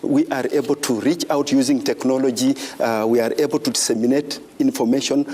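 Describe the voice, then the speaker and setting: A male panelist answers from a conference hall, pausing often and filling gaps with hesitant sounds. His mid-pitched voice carries a long echo, with a faint hum of the room behind it. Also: steady tone, darkish tone. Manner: halting, many filler sounds.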